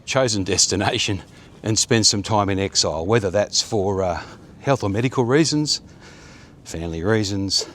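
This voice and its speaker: A man in his fifties talks in a rambling, friendly walk-and-talk style, full of ums and restarts, with a voice sitting a little low. He films himself on a handheld camera outdoors on a sunny suburban street, with faint traffic hum behind him. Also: moderate pace, fairly bright tone. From Australia. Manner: rambling, chatty, with many fillers. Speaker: vlogger. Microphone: phone or action camera held at arm's length.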